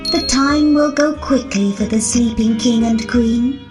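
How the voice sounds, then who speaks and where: A woman voicing a kindly, caring fairy in a children's storybook, speaking in a deliberate, gentle manner. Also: fairly high pitch, music in the background, close to an everyday voice. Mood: gentle, reassuring. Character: kindly, caring fairy planning a magical sleep. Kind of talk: scripted children's storybook acting.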